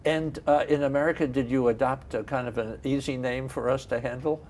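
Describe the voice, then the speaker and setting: A man's voice: mid-range voice, steady tone, brisk, a little mellow, a little hiss or hum. A man hosting a studio interview, asking eager, encouraging follow-up questions. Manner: curious, encouraging, asks short follow-ups. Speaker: TV interview host.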